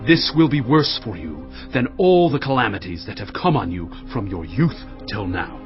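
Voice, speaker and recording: An actor playing a blunt, indignant army commander, scolding in a dramatized audio Bible. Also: male voice, lowish voice, sing-song, normal speed, dull audio, music in the background. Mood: angry rebuke. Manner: forceful, scolding.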